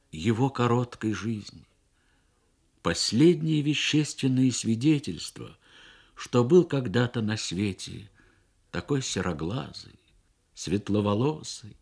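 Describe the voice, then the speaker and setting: A man reads a literary short story for radio in measured, expressive phrases with frequent pauses. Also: mid-range voice, breathy edge. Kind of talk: literary narration, Soviet radio story reading.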